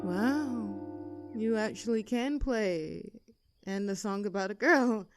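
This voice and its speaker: A woman's voice: medium pitch, takes it slowly, over music, close to an everyday voice. A young woman playing a rebellious teenage girl bully in an audio roleplay, with a sarcastic, teasing, brash manner. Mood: curious, prodding. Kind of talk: scripted roleplay audio drama.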